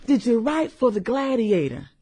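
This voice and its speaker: Brash, animated, flirty businesswoman played by a woman. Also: on the high side, breathy edge. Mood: curious, probing. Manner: sassy, slangy, drawn-out interjections. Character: brash, flirty, fun-loving high-powered businesswoman in her late thirties. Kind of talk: satirical radio drama acting.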